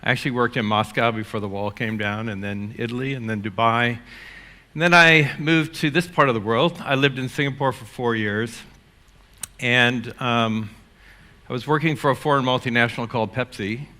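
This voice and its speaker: Older New man, keynote speaker, forceful bright tone, loose and chatty with lots of restarts. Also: medium pitch, normal speed. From United States. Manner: energetic, rambling with many fillers and restarts. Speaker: conference speaker. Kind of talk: keynote talk. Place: conference stage.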